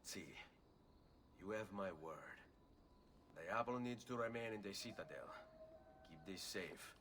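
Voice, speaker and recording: A man's voice: lowish voice, animated, unhurried, a bit bright, distant mic, slight background noise. A man playing a solemn, resolute Italian assassin hero in a video game, with an Italian accent. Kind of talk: video game drama acting.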